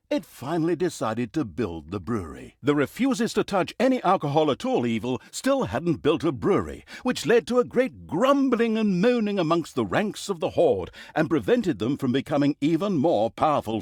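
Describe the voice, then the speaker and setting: Casting: wry, exasperated fantasy game storyteller, hugely animated intonation, mock-grandiose comic delivery, very dry studio sound. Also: male voice, fairly high pitch, normal speed, few pauses, breathy edge, dry recording, heavily acted. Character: sarcastic, easily exasperated male fantasy storyteller mocking evil. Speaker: video game narrator. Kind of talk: theatrical comic storybook narration.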